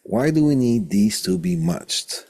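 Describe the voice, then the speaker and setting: A man teaching as a college instructor in an informal technical lecture, deliberate, often repeating phrases and posing questions to himself. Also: fairly low pitch, huge pitch swings, creaky, warm tone, slightly rough, dry recording.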